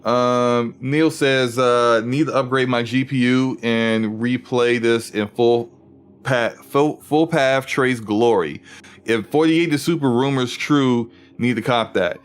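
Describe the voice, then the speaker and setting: A man with a deep voice chatting casually while he streams a video game, rambling and restarting his sentences. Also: slow pace, smooth. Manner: rambling, relaxed, frequent restarts. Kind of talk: casual gaming livestream commentary.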